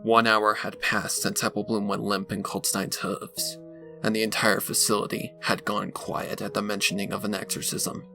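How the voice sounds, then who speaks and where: Male narrator for dramatic scripted fiction, lowish with heavy fry, music underneath. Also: flat delivery, normal speed, pushed and bright. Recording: music behind the voice.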